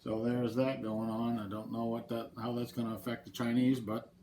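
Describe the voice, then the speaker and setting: A man's voice: medium pitch, moderate pace, a little hiss or hum. Man with a head cold explaining. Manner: relaxed, explanatory, talks through rules step by step. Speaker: channel host. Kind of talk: board game playthrough commentary.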